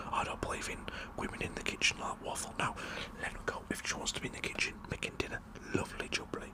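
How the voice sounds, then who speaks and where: A British man whispering a rambling, jokey, blokey ASMR roleplay monologue. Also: poor audio. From United Kingdom. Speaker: ASMR performer.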